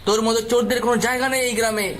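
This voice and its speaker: A man playing an excitable, urgent villager raising the alarm in a cartoon story. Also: very high voice, racing, slight background noise. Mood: angry. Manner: urgent, accusing.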